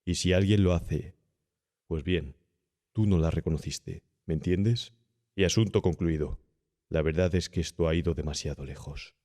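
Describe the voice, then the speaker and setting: Man playing a deep-voiced, cynical young doctor. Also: normal speed. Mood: cool dismissal. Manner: smooth, insolent, calmly persuasive. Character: cynical, cool, worldly young male doctor. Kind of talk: audiobook character acting.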